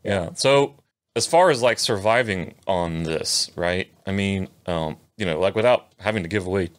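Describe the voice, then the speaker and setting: A man's voice: sits low, normal speed. A man hosting an interview, asking long, rambling questions packed with fillers and frequent tag questions. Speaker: interview host. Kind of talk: casual interview chat.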